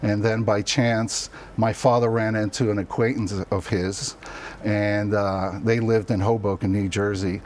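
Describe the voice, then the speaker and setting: A middle-aged man from Cuba who grew up near New York, telling personal stories casually and a little haltingly as an interview guest in a TV studio. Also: on the low side, level tone, darkish tone, a little hiss or hum. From born in Cuba, raised in the New York area from childhood.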